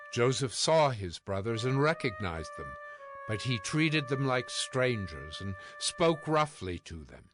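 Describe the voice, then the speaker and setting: Solemn male narrator over clear music. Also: medium pitch. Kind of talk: scripted scripture narration. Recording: music behind the voice.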